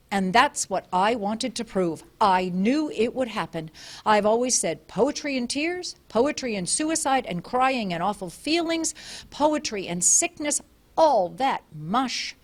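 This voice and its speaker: Brief: a woman playing a prim, scornful housewife, shrill and indignant, a touch high. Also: lively intonation, unhurried.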